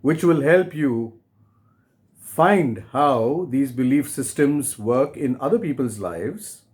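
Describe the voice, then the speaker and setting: Slow-paced man, measured author's reading. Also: mid-range voice, expressive, a little mellow. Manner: deliberate, short phrases with pauses, rhetorical questions. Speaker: author reading own book. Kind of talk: measured book reading and direct address to listeners.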